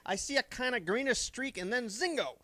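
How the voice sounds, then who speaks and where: Man voicing an old farmer, high-pitched, bright twang, folksy drawl, dry close sound. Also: expressive, brisk, dry recording, heavily acted. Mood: bewildered. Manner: folksy, drawling rural talk. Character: old country farmer, slow rustic man. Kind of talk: 1930s radio drama acting.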